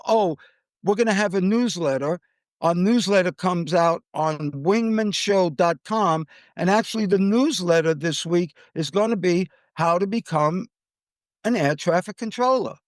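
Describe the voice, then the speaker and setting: A man's voice: high voice, no room sound, studio quality. An American man hosting a podcast, giving conversational commentary on the news. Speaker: podcast host.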